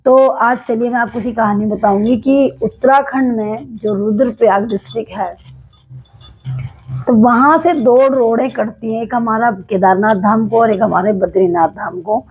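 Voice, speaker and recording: A woman from Uttarakhand with a slightly hoarse throat, telling a devotional temple legend to camera in a casual, hesitant way. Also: a little high, moderate pace, a little mellow, poor audio. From Uttarakhand, India. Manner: devout, explanatory, with frequent hesitations.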